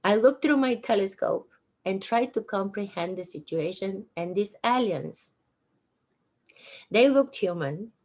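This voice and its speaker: A woman in her sixties with a Bulgarian accent, host of her own show, reading her memoir aloud slowly and deliberately with dry humor. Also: medium pitch, lively intonation, darkish tone. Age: 60s. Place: at home on a couch.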